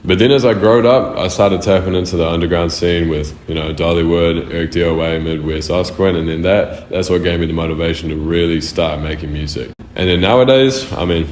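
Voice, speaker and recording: Young New male artist, very deep, swears freely, echoey room. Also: a little mellow. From New Zealand. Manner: loose, slangy and profane. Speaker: music artist. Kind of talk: casual answers to interview questions. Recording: roomy echo.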